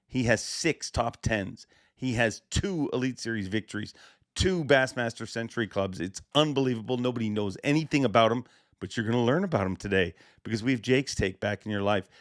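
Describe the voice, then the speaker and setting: A man hosting his own fishing podcast, talking casually and excitedly, his voice a little raspy after a busy stretch. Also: medium pitch, fairly quick, no room sound, studio quality. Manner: excited, emphatic, rambling. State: slightly raspy voice. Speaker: podcast host.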